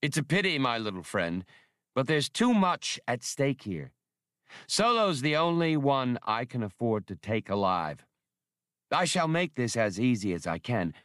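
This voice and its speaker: Guy doing a cold smug gunman bad guy for an audiobook, formal and menacing, expressive pitch. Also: no echo, clean studio sound. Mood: mock regret. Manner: formal, condescending, unhurried menace. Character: smug, coldly courteous gunman villain, man. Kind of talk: audiobook character dialogue.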